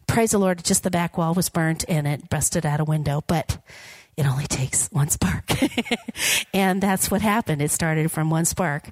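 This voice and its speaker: A woman with a slightly raspy, hoarse voice gives an upbeat, jokey sermon from a church platform and keeps asking the congregation to respond. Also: lowish voice, darkish tone, no echo. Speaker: guest preacher. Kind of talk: informal sermon with prayer.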